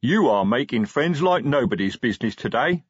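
A man voicing a hulking, loyal troll sergeant who speaks in blunt, rumbling, ungrammatical phrases in an audiobook. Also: expressive, normal speed, breathy edge, no echo, highs cut off. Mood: gleeful menace.

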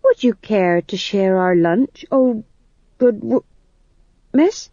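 A woman's voice: mid-range voice, lively intonation, slow, warm tone, no echo, dull audio, voice pushed up. A woman voicing an awkward, anxious young man in a storybook, polite, hesitant and eager to please. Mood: ingratiating.

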